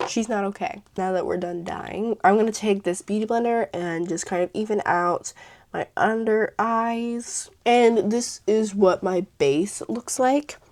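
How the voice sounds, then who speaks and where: A teenage girl talking through her makeup routine in a casual, chatty way, her voice hoarse from a cold. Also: mid-range voice, measured pace, darkish tone. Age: teens. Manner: chatty, filler-heavy, self-mocking. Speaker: beauty vlogger.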